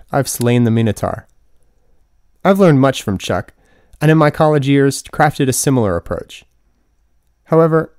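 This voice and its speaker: A man's voice: mid-range voice, darkish tone, no echo. A man in his twenties reading his own humorous book as scripted narration, with wry, dry comic asides. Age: 20s. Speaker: author reading own audiobook.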